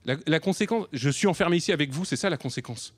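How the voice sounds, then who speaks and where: Actor as a hesitant, flustered delivery rider. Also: male voice, fairly high pitch, expressive, fast talker, background noise, natural voice. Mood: flustered, defensive. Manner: hesitant, stammering, self-justifying. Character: sheepish, flustered delivery rider justifying his vandalism. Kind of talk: radio drama, naturalistic confession.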